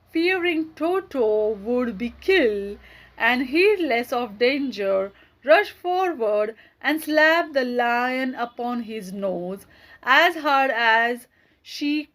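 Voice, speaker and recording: A woman's voice: fairly high pitch, a bit bright. A woman narrating a children's story as an audiobook storyteller.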